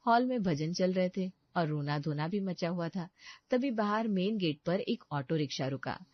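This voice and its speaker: A woman's voice: fairly low pitch, expressive, normal speed, no room sound, highs cut off. A woman narrating a scripted story.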